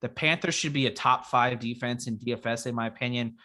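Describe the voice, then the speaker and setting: A young man co-hosting a casual fantasy football show, his voice raspy from being sick, talking in a relaxed, rambling way with many fillers. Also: medium pitch, a little fast. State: raspy after illness. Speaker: podcast co-host. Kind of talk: casual sports chat.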